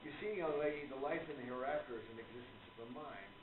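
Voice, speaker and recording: Through loud noise and a thin, phone-like sound comes a man acting as a courtly gentleman author from a radio play. He speaks formally and earnestly, like a devoted believer in the spirit world expounding his faith. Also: medium pitch, fast talker, roomy sound, lightly acted. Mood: preaching, rapt.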